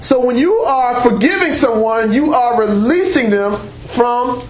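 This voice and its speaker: High-voiced preacher in an echoey room. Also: male voice, expressive, measured pace, a little hiss or hum. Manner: loud and emphatic, with call-and-response exclamations like praise and amen. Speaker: preacher and Bible teacher. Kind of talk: sermon-style teaching to a congregation. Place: church meeting room before a congregation. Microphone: unamplified voice picked up by a room mic.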